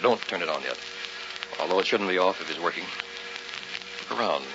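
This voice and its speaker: A skeptical investigator in an old radio thriller. His voice is very low but swings expressively, and he sounds uneasy about the occult. The recording is muffled and noisy. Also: animated, brisk. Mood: cautious, suspicious. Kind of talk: vintage radio drama acting.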